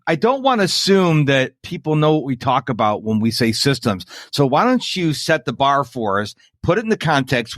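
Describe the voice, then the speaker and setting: A man's voice: mid-range voice, fairly bright tone, no echo, studio quality. A man hosting a podcast, chatting in an upbeat, folksy way. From United States. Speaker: podcast host. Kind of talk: casual interview chat.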